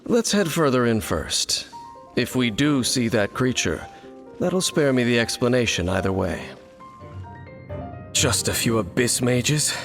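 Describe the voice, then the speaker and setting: A man playing a brooding, world-weary young wanderer who speaks in measured, solemn, cryptic phrases in a video game. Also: medium pitch, lively intonation. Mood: reserved, musing. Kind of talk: dramatic video game dialogue. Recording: studio voice over game music.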